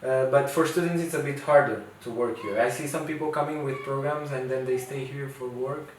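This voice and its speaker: A man's voice: medium pitch, fairly even intonation, a little fast, slight background noise. A man in his twenties whose first language is Portuguese, an international student talking casually in an interview, hesitant with many fillers and restarts. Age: 20s. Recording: echoey room, distant mic, faint ambience.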